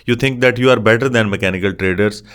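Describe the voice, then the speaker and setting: A man's voice: lowish voice, quick pace, fairly bright tone, clear, resonant tone. A man whose first language is Urdu, narrating a scripted explainer in an earnest, opinionated way and addressing the viewer directly. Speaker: trading educator.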